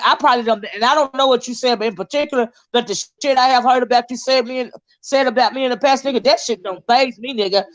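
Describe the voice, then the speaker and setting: A woman's voice: fairly high pitch, dull audio. Blunt, cursing woman livestreamer. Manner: profane, blunt and combative, emphatic cursing. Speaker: livestream host. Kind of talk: heated livestream commentary.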